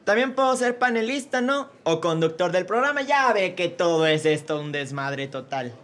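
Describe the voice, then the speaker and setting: A man's voice: high-pitched, expressive, moderate pace, fairly bright tone. A man with a Mexican accent voicing a lazy, sarcastic court secretary in a comedy radio drama. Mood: cheeky. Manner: sarcastic and grumbling.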